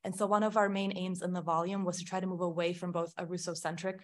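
A woman's voice: lowish voice, little pitch movement, fast talker. A middle-aged woman from Ukraine, a film scholar giving an academic panel talk in a lecture hall, thoughtful and full of small self-corrections.

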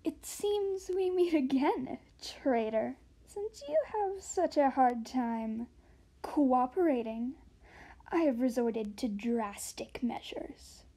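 Villain queen dragon for amateur animation, played by a woman: high voice, imperious, menacing, slow theatrical delivery. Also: warm tone, exaggerated voice. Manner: theatrical, drawn-out, deliberate delivery.

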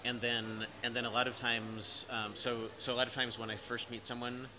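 An American man in his forties, easygoing and talkative, who repeats and restarts his words as he tells a story. His mid-pitched voice is caught by a camera in a cafe, with steady clear background noise. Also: moderate pace. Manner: repetitive restarts, chatty and amused. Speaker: interviewer, host. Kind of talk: casual interview conversation. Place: cafe by large windows, indoors. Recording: noisy cafe ambience.

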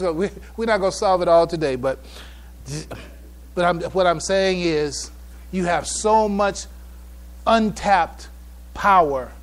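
A man giving a religious public address, halting and repeating phrases for emphasis. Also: sits high. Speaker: speaker addressing a mosque congregation.

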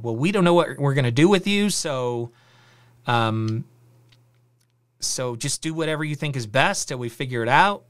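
Middle-aged man telling a story. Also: smooth. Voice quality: rather high voice. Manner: conversational, animated storytelling with filler words. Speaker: podcast host. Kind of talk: casual storytelling monologue. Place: home studio with virtual backdrop.

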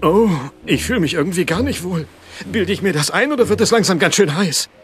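A playful, naive young orca in a children's radio play. The voice is high and very lively, with music underneath. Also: male voice, fairly quick. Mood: worried. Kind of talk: German children's audio drama acting.

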